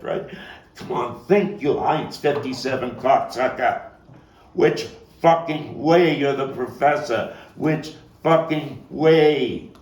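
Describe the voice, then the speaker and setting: A man performing a dramatic stage monologue as a vengeful young gang member, profane and intense, halting and repeating his words. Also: mid-range voice, vocal fry, darkish tone. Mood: taunting, furious. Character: volatile, vengeful male street gang youth recounting a bloody fight. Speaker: actor and playwright performing his own monologue. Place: echoey room, table read. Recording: echoey, noisy room, distant mic.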